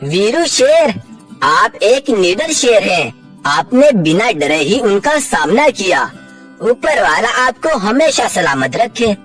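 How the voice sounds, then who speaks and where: A man voicing an earnest talking jungle animal in a children's cartoon, with declamatory, deliberate delivery. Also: high voice, big pitch swings, fairly bright tone, heavily acted. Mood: admiring. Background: background music.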